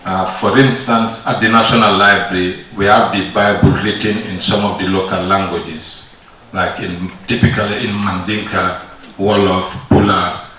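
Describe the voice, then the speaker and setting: Man, low but forceful voice, formal workshop question, distant and echoing. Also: flat delivery, moderate pace. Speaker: workshop participant asking a question. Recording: echoey room, distant mic, faint noise.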